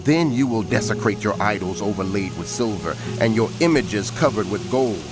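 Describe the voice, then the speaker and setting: An actor playing a prophet who narrates dramatized scripture in a measured, earnest, proclaiming way. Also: male voice, lowish voice, lively intonation, music in the background, close to an everyday voice. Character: prophet narrating, earnest male herald of God.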